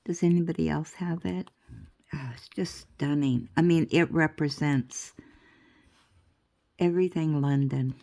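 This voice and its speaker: A woman's voice: low-pitched, measured pace, creaky, long pauses, dark tone. An older woman with a stuffy nose, chatting casually and rambling in a crafting vlog, laughing often. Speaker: crafting vlogger.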